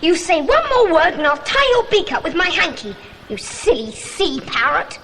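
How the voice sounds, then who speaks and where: A resourceful, bossy child in a children's adventure drama. The voice is very high and animated, giving orders urgently, in a reverberant, noisy recording. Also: female voice, normal speed, exaggerated voice. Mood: scolding. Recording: noisy track with long hall reverb.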